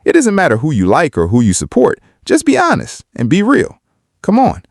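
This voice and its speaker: A man's voice: a little high, huge pitch swings, normal speed, dry recording, studio quality. A man giving opinionated video commentary in an emphatic, argumentative way. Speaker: video commentator on hip-hop.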